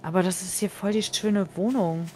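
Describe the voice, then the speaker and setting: Woman, excitable, teasing, casual gaming chat, quick exclamations, clear background music. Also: mid-range voice. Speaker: co-op gaming partner.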